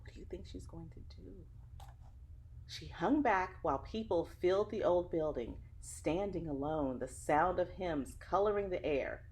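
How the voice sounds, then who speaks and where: A woman's voice: fairly low pitch, a little slow, darkish tone, rough recording. A woman reading a children's story aloud like a teacher, with dramatic phrasing and an engaging way of addressing young listeners.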